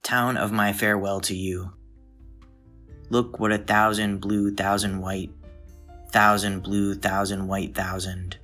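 A man giving a literary poetry reading in a measured, solemn manner, with deliberate pauses between short phrases. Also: on the low side, level tone, sharp tone, faint music behind. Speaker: poetry reader.

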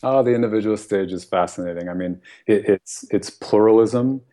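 A man's voice: fairly low pitch, moderate pace, warm tone. An American man, a guest author, talking earnestly and thoughtfully in a podcast conversation, often laughing and saying "you know". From United States.

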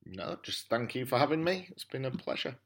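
A man as a podcast guest, giving casual opinions in a measured, reflective way. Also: medium pitch, sing-song, slightly rough. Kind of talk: casual review chat.

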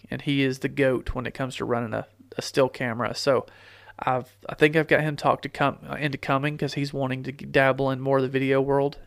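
A man's voice: mid-range voice, fairly bright tone, clear, resonant tone, no echo, clean studio sound. A man hosting his own podcast, talking casually with a stuffy, congested nose from a cold, rambling with fillers and restarts. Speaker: podcast host. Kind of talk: casual solo podcast talk. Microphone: studio podcast microphone.

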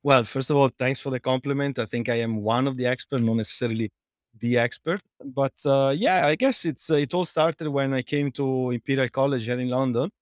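A man's voice: mid-range voice, fairly quick, dry recording, clean studio sound. A middle-aged man from Italy with an Italian accent, answering interview questions in a hesitant way with many fillers and restarts. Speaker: guest, fouling researcher and company co-founder.

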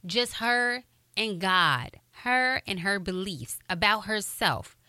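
A woman radio broadcaster hosting a motivational podcast, with a high voice and an upbeat, encouraging way of talking. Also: expressive, slow, sharp tone, no room sound, studio quality.

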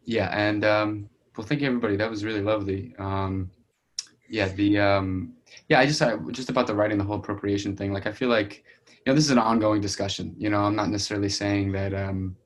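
A man speaking as a playwright in a casual feedback discussion, in a halting, searching way. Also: on the low side, fairly even intonation. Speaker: playwright discussing his play.

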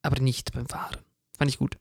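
A man's voice: mid-range voice, flat delivery, brisk, husky, no room sound, studio quality. A man co-hosting a podcast in casual, colloquial conversation. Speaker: podcast co-host. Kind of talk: casual chat.

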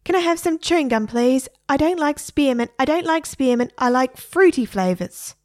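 A woman voicing a whiny, stubborn male pet parrot in a read-aloud story, with a squeaky complaining voice. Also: animated, moderate pace, darkish tone, no room sound, studio quality.

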